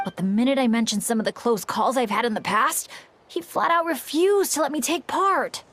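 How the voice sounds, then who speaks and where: A woman's voice: fairly high pitch, moderate pace, fairly bright tone, slight background noise, natural voice. A woman voicing an earnest, eager teenage boy adventurer in a video game, with a boyish manner. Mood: hopeful pleading. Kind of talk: animated video game voice acting. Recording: faint background music.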